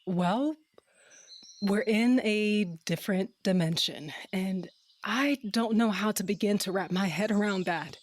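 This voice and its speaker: A woman playing a level-headed, practical wife in a fantasy audio drama, deliberate and deadpan. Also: medium pitch, natural voice. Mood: calm, deadpan.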